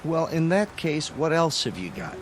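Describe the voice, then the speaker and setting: An American actor playing a practical, puzzled husband out car shopping, in 1960s television drama style. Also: male voice, fairly high pitch, very animated, normal speed, a little hiss or hum. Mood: firm, wary. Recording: old TV soundtrack with faint music.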